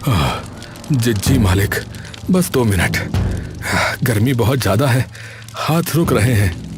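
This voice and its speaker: A man's voice: mid-range voice, very animated, slow pace, music in the background. A man playing a weary, obedient father who works as a cook in a cartoon drama, submissive and strained. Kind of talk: Hindi cartoon drama acting.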